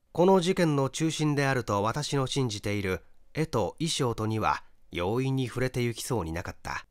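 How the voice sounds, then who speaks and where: A man reading a mystery story as scripted narration, in a measured, expressive storytelling manner. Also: mid-range voice, no echo, studio quality. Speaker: audiobook narrator.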